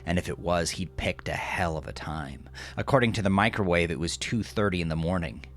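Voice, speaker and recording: A man narrating horror fiction in a gravelly voice, deliberate and dramatic, often dropping to a hush. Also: deep voice. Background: music.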